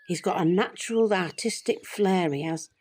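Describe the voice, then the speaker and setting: An older woman playing a loving, regretful British grandmother in an audio drama, confiding her story in a reflective, measured way. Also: on the low side, darkish tone, lightly acted. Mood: fond, wistful.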